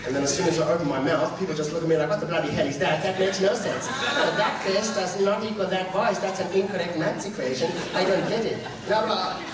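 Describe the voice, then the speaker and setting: A young Australian man with an Australian accent performing an animated stand-up comedy set, slipping into voices of the people in his stories. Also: sits high, quick pace, few pauses, a loud crowd. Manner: animated storytelling, mimics voices of mum and others. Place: large hall with a live audience. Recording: echoey hall, miked from far off, audience.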